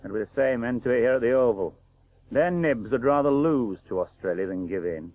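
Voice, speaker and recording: An actor playing a blunt, bitter working-class old cricket professional in a radio play, plain-spoken and sardonic. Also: male voice, medium pitch, lively intonation, brisk, no room sound, close to an everyday voice. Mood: resigned, reproachful. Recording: tinny narrow-band 1980s radio broadcast.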